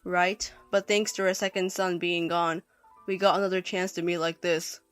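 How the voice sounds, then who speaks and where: A woman voicing a callous, defensive older husband with a gruff, dismissive manner in an audio story. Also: on the low side, level tone, moderate pace, faint music behind, voice pushed down, close to an everyday voice. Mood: callous, smug. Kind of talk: audio story character acting.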